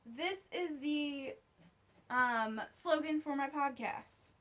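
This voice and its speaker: High-voiced woman chatting casually. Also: slow pace, a bit bright. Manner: candid, wry, conversational asides. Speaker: vlogger reviewing merchandise. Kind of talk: casual unscripted chat to camera.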